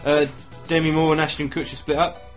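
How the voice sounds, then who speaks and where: A man's voice: a little high, flat delivery, fairly quick, soft background music. A man from England co-hosting a podcast, mumbling in slangy, sweary casual banter. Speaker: podcast co-host.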